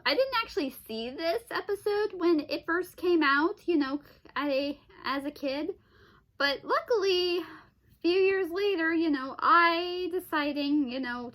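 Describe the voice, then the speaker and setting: A young woman hosting a casual, unscripted commentary, halting as she repeats and rephrases, with exclamatory reactions. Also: high voice, sharp tone. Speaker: online video host.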